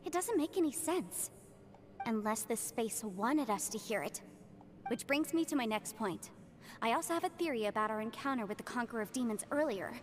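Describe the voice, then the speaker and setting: An actress playing a composed young woman strategist in a video game, speaking in an earnest, deliberate, analytical way. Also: on the high side, lively intonation, soft background music, close to an everyday voice. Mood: pondering, grave. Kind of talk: video game cutscene acting.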